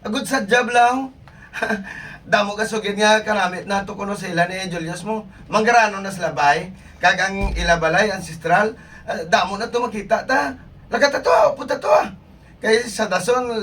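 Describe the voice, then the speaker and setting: Eager, pleading schoolgirl, played by a woman, mid pitch, amateur radio drama, distant and slightly noisy. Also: fairly bright tone, close to an everyday voice. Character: pleading, eager schoolgirl begging her father. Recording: distant mic, faint background noise.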